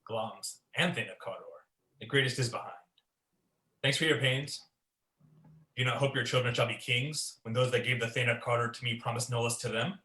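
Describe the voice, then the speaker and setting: A man's voice: mid-range voice, steady tone, fairly quick, bright, forceful tone, natural voice. A young man playing an ambitious, troubled warrior lord in a Shakespearean radio play, delivering verse in a brooding, theatrical way. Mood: startled ambition. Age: young adult. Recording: echoey room sound.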